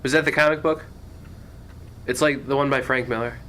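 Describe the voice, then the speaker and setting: A man's voice: medium pitch, fairly even intonation, normal speed, fairly bright tone. A young man co-hosting a podcast, chatting in a laid-back way and laughing along. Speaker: podcast co-host. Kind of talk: casual chat. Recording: faint background noise.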